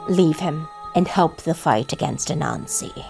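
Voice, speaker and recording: A woman playing an imperious, cold mother of monsters in an audio drama, giving commands in deliberate, drawn-out words. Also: low-pitched, animated, creaky, darkish tone, soft background music, heavily acted, low-quality recording. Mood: cold, commanding. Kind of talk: dramatic audio fiction acting.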